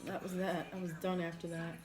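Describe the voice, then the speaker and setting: A woman's voice: lowish voice, level tone, brisk. A woman with a cough from a recent flu, talking frankly and swearing freely as she chats over a meal. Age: adult parent. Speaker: mukbang co-host. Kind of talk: casual chat while eating.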